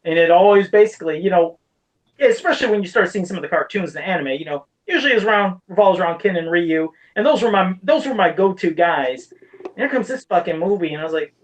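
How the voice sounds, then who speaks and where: Higher-voiced man in sweary casual fan talk. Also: animated, fast, poor audio. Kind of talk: casual chat about movies.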